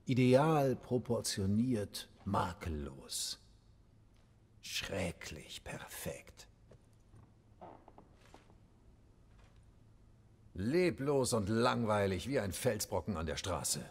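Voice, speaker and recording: A man's voice: lowish voice, sing-song, takes it slowly, husky, full character voice. An actor playing a jaded, sardonic bandit leader and nobleman in a video game, with a languid, capricious manner. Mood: languid, bored. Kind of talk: dramatic video game acting.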